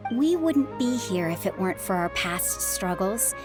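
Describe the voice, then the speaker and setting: A woman playing a calm, reassuring, philosophical middle-aged mother and former adventurer in a video game. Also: mid-range voice, unhurried, music in the background, close to an everyday voice. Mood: reflective.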